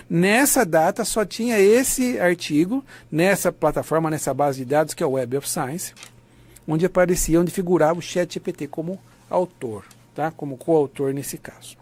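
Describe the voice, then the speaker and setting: Higher-voiced Brazilian man giving a lecture. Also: darkish tone. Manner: didactic, conversational, often seeks confirmation with tags. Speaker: lecturer of an academic writing course. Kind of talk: online lecture, informal explanation.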